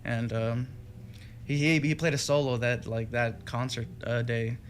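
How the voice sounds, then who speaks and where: A man's voice: medium pitch, steady tone, measured pace, a little hiss or hum. Rambling young Texan man. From Texas. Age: young adult. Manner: rambling, many fillers and restarts. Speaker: guest musician. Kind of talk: casual interview chat. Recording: radio studio with faint music behind.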